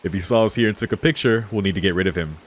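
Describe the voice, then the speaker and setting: A man's voice: low voice, very fast, a little hiss or hum. A man streaming a game, reading text aloud and adding casual, laid-back commentary. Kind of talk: casual let's play commentary and read-aloud.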